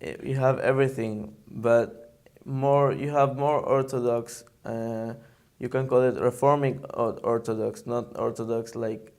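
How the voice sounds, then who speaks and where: A young man with an Israeli accent, a camp counselor answering interview questions in careful, halting English as he searches for words. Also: mid-range voice, steady tone, darkish tone. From Argentina, raised in Israel.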